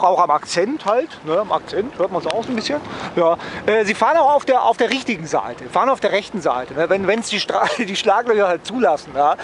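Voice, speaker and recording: Higher-pitched man, wry vlogger. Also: slight background noise. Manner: wry, joking, often ends phrases with a questioning "ne?". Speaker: travel vlogger. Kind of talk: casual, rambling vlog chat to viewers.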